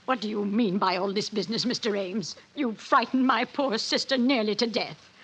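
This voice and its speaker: An actress playing a stern, skeptical former schoolteacher who speaks curtly and reproachfully, in 1940s radio style. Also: female voice, mid-range voice, normal speed, a bit bright, slight background noise, close to an everyday voice. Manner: curt, reproachful.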